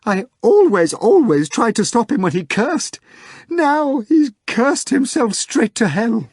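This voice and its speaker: Guy doing a sobbing panicky secretary lady, squeaky high, nasal from crying, goes on and on. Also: big pitch swings, takes it slowly, a little mellow, husky, dry recording, studio quality, exaggerated voice. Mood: wailing. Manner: shrieking, wailing, frantic. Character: weeping hysterical young woman secretary.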